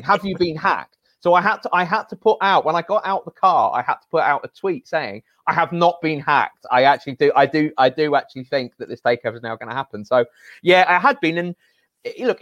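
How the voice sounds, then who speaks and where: A man in his forties from Northeast England, a sports journalist with a loud voice telling a story in casual podcast chat. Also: medium pitch, no echo, lo-fi sound. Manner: animated storyteller, voice jumps when excited.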